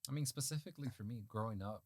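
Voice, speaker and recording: A man's voice: medium pitch, rapid-fire, darkish tone, dry recording, clean studio sound. A man in casual podcast conversation, speaking earnestly and repeating key phrases for emphasis. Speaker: podcast host.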